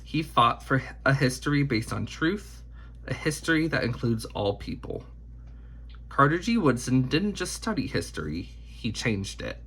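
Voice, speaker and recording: A man narrates a children's biography as an expressive read-aloud. His voice is husky, with a gravelly creak at the edges. The intonation swings widely while the phrasing stays measured and deliberate. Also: mid-range voice.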